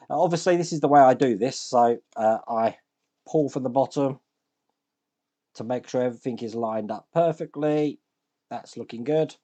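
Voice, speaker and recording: Hoarse man chatting as he builds. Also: medium pitch, a little mellow. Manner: chatty, self-deprecating, thinks aloud while working. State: hoarse, deep voice from shouting. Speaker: model-building channel host. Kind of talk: casual hands-on build commentary.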